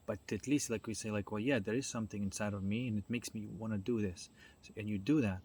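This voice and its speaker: A man with a fairly low, noticeably husky voice talks through ideas in an informal monologue. He explains things step by step in a halting way, often searching for the next word. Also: steady tone, a little mellow. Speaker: speaker on self-development.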